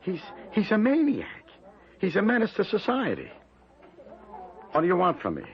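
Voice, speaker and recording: A man's voice: high voice, huge pitch swings, normal speed, muffled recording, heavily acted. An actor playing a plain working-class man in an old radio drama, blunt, hesitant and easily flustered. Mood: alarmed, indignant. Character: plain working-class male witness, wary and indignant.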